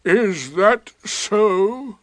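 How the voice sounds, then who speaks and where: Storybook donkey, old, gloomy, sarcastic, ponderous drawl, dry close sound. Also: male voice, high-pitched, dry recording, pitched above the performer's own voice, full character voice. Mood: dry sarcasm. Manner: slow, drawling, deadpan, ponderous. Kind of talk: children's storybook character reading.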